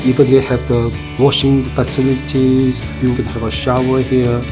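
A middle-aged man from Malaysia, talking in a documentary interview in a halting way with many pauses and fillers. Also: mid-range voice, monotone. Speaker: project member. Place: indoor art room. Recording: music bed underneath.

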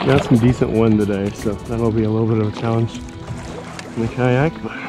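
A man's voice: medium pitch, fairly even intonation, a little fast, over music. A middle-aged man, narrating a casual fishing vlog outdoors into an action camera, talking informally to viewers and himself. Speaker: fishing vlogger. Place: outdoors by and on a pond. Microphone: action camera, handheld or worn.

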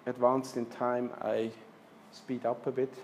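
A man's voice: mid-range voice, flat delivery, measured pace, slight background noise. Man lecturing with hesitant restarts. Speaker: architecture lecturer. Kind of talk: presenting slides in a lecture. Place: lecture room with projector screen.

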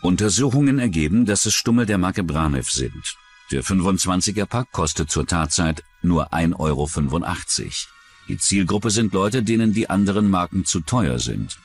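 A man with a deep voice narrates a true-crime documentary. His scripted delivery is dramatic and melodically varied, with music underneath. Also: animated, normal speed.

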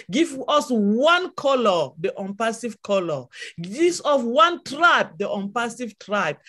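A woman from Cameroon, a native French speaker with a Cameroonian French accent, giving a passionate motivational testimony on a video call with a repetitive preaching cadence. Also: a little high. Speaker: webinar speaker.

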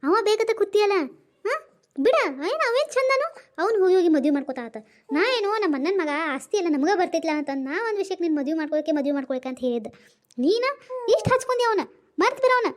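A fretful mother, acted by a woman with a North Karnataka Kannada accent talks in very high, rapid bursts. She coaxes her daughter and is quick to defend herself in a family drama skit. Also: very animated. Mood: coaxing. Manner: coaxing, defensive, rapid scolding.

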